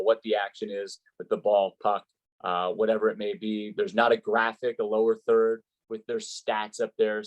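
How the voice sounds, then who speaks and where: A man's voice: lowish voice, poor audio. An American man, a sports play-by-play announcer, giving advice conversationally in an interview and explaining with examples and rhetorical questions.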